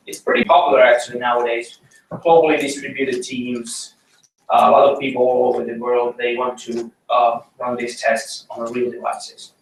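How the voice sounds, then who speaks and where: A man with a Finnish accent giving a tech meetup talk, pausing often with ums and uhs. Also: medium pitch, distant mic. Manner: hesitant, many ums and uhs. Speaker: conference speaker. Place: meeting room. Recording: roomy echo, mic from afar, faint noise.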